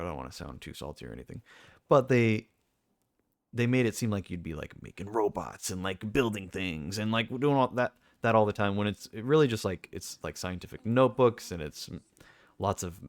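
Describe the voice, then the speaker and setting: A young man with a deep voice, talking casually and rambling with lots of fillers and restarts as a streamer. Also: dry recording. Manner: rambling, self-correcting, many fillers.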